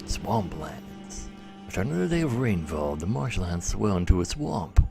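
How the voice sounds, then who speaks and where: A man with a fairly low voice that often drops into fry, swinging widely in pitch as he commentates a game on a livestream. He shifts between muttered asides and dramatic read-aloud, with music playing behind. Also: big pitch swings, unhurried. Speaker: live streamer. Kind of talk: gameplay commentary, reading game text aloud.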